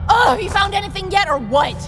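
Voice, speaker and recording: A woman's voice: very high-pitched, expressive, quick pace. A woman voicing a brash, sarcastic, hot-tempered teenage schoolgirl in an exaggerated anime parody. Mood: angry, mocking. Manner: snarky, mocking. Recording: loud music behind, some reverb.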